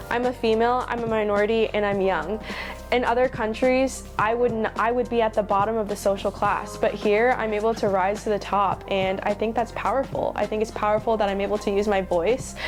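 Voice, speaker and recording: A twenty-year-old American woman from Atlanta gives an earnest personal testimony with emphatic, repeated phrasing. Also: mid-range voice. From Atlanta, Georgia, United States. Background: soft music.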